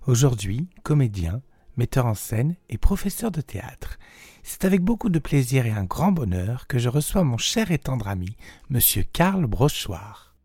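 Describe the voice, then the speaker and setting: A man hosts an arts radio program, his pitch rising and falling in a lively, animated way. He introduces the show and his guest with a measured, announcer-like delivery. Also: slightly rough, no echo. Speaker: radio host.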